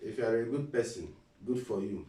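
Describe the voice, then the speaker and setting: A man's voice: on the low side, animated, brisk, dark tone, distant mic. A Nigerian man with an Igbo accent giving a casual motivational talk as a vlogger, preachy, repeating phrases and asking rhetorical questions. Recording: roomy and a bit off-mic.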